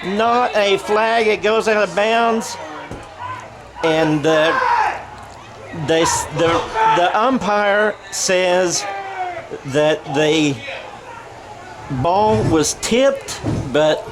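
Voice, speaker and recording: Man calling football over clear background noise. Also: sits high, extremely slow, long pauses, over a noisy crowd. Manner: rambling, self-correcting, folksy asides. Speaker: play-by-play announcer. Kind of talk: radio-style football commentary. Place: outdoors at a football stadium.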